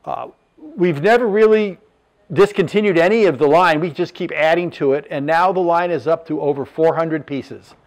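Sixties male business owner, plain unpolished showroom pitch, a touch higher-voiced. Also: normal speed. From Southern California. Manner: plain, matter-of-fact, lists measurements with fillers. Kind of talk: product presentation. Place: furniture showroom, indoors.

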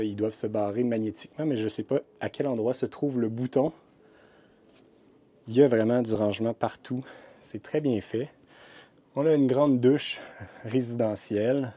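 Mellow Québécois man touring campers. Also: medium pitch. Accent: Québécois French. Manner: chatty and descriptive, frequent hesitations. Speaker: RV vlogger touring a trade show. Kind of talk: casual walkthrough narration. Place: indoor RV show hall.

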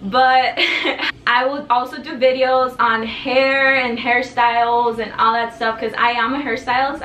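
Teen girl vlogging from her bedroom, higher voice, bit of faint background hiss. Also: a bit bright. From El Paso, Texas, raised in Juarez, Mexico. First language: Spanish. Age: teens. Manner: bubbly, talkative, gestures a lot. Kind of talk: casual talk to camera. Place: bedroom with tapestry backdrop, indoors. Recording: echoey room, mic a bit far.

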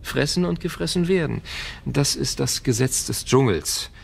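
Gravelly, wary tech founder. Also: male voice, medium pitch, lively intonation, normal speed, exaggerated voice. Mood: resigned. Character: wary, world-weary male tech company founder. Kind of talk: German audio drama dialogue.

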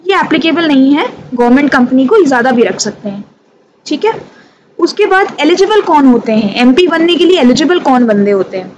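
A woman's voice: high-pitched, sing-song, a little fast, darkish tone, dull audio. A woman with an Indian accent giving an informal revision lesson, speaking conversationally and addressing her students directly. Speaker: teacher of company law exam revision. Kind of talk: informal lesson mixing Hindi and English. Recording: clearly audible background noise.

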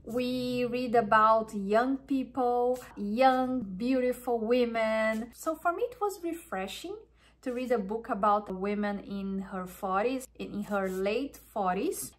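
Brazilian-accented woman, native Portuguese speaker, casual vlogger, bright and a touch high. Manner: chatty, enthusiastic, restarts phrases. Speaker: wellness vlogger. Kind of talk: casual chat to camera.

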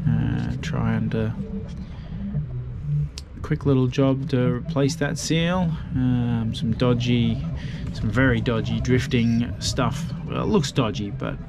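A man casually narrating his motorbike rebuild in a relaxed, rambling way, with lots of fillers and dry self-deprecating asides. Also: mid-range voice, slow, vocal fry, very noisy. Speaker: motorbike restoration vlogger. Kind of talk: casual workshop voice-over narration.

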